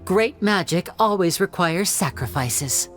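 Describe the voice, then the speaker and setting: An actor, a woman, playing a composed, articulate magician sleuth in a video game, explaining things in a measured, theatrical way. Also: lowish voice, expressive. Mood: composed, explanatory. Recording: music behind the voice.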